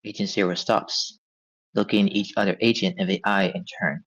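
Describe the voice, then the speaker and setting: A man's voice: deep voice, little pitch movement, moderate pace, a bit bright. A man narrating stage directions from a script at a table read. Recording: dull, lo-fi audio.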